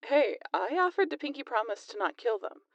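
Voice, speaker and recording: A woman's voice: fairly high pitch, sing-song, brisk, no echo, lo-fi sound. A woman playing a teasing, sarcastic, foul-mouthed vampire in a scripted roleplay audio drama, with playful, exasperated banter.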